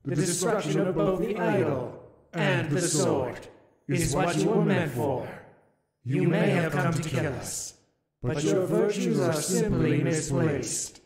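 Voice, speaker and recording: A man voicing a solemn, ancient otherworldly ruler in a visual novel, making grave, deliberate, theatrical pronouncements. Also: a little high, lively intonation, a loud crowd. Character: solemn, ancient otherworldly ruler, calmly justifying terrible deeds. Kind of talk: dramatic visual novel voice acting. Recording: echoing voice under loud music.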